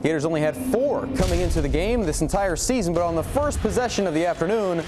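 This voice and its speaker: A man anchoring TV sports highlights in a punchy, conversational style full of rhetorical questions. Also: expressive, fast, over music.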